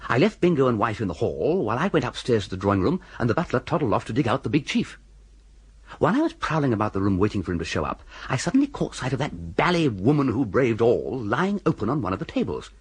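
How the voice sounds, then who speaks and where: An actor playing a breezy, dithering young English gentleman with an upper-class accent, who narrates and acts in a radio comedy. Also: mid-range voice, sing-song, fast, husky. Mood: breezy narrating. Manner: hesitant, bumbling, flippant. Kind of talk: full-cast radio comedy drama with narration.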